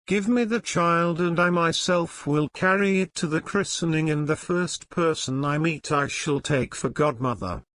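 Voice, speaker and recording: A man's voice: on the high side, measured pace, hardly any pauses, fairly bright tone, no echo, pitched above the performer's own voice. A man voicing a poor, devout father in a storybook, speaking earnestly to his family and elders. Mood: reassuring, resolute.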